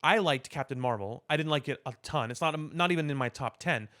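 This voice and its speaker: A man co-hosting a podcast, talking casually about pop culture in halting, deliberate, explanatory phrases. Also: mid-range voice, pushed and bright. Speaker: podcast co-host.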